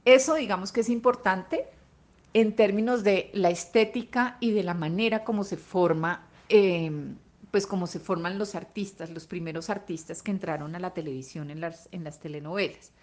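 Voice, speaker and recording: A woman's voice: on the low side, normal speed, darkish tone. Colombian woman explaining in an interview, didactic. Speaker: expert interviewee.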